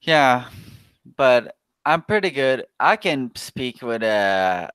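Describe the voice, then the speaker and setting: A French-Canadian man with a thick Québécois accent talks slowly and haltingly in English, hunting for his words between chuckles. His voice is smooth and clear, with a dry, close sound. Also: mid-range voice, very animated, a bit bright, dry recording. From Quebec, Canada. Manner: halting, searching for English words, laughs often. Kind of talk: casual voice chat.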